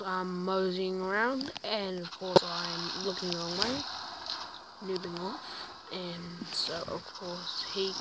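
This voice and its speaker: A woman with a croaky voice from a sore throat gives chatty, self-deprecating gameplay commentary while reviewing a tank in a video game, often stumbling over her words. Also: on the low side. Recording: loud background noise with clicks.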